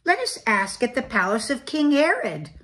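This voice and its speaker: A woman's voice: on the high side, very animated, normal speed, fairly bright tone, pitched above the performer's own voice. A woman voicing earnest travelling wise kings from a storybook, in an emphatic, stately manner. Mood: earnest, questioning.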